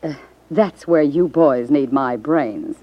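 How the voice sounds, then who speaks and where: A woman's voice: low voice, slow. An American actress playing a shrewd, cocksure, wisecracking gangland woman in a 1950s radio crime drama. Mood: cocky, scheming. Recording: dull 1950s radio recording.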